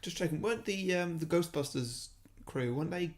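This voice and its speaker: A man's voice: a little high, brisk. Dry man asking short questions. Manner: dry, brief replies and questions. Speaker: podcast co-host. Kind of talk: casual chat.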